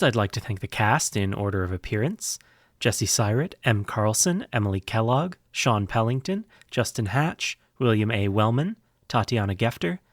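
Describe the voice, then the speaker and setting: A man's voice: medium pitch, no echo, studio quality. A man, the show's creator, reading scripted credits and announcements in a friendly, informal way. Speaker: podcast creator.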